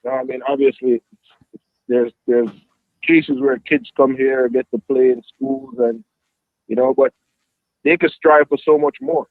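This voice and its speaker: A man's voice: medium pitch, fairly even intonation, measured pace, pauses often, no echo. Jamaican man, football coach, reflective, fills with "you know", phone-like dry sound. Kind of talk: interview answers. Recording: dry tinny phone-like line.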